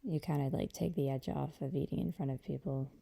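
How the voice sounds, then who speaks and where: A woman playing a gentle, affectionate girlfriend in an intimate ASMR roleplay, talking softly and hesitantly to a partner. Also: fairly even intonation, exaggerated voice. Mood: relaxed, affectionate. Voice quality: dark, creaky low voice. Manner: soft, hesitant, unhurried conversational delivery.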